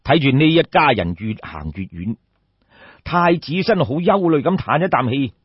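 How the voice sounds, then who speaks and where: A man's voice: a little high, big pitch swings, normal speed, breathy edge, no echo. A man with a Cantonese accent narrating a folk tale as a storyteller, in a dramatic scripted style. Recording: dull, muffled lo-fi audio.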